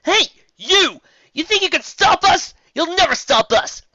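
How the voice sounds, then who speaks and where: A man voicing a hot-headed young female pony who shouts defiance. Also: very high voice, lively intonation, measured pace, no echo, lo-fi sound, pitched above the performer's own voice, exaggerated voice. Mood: defiant yelling.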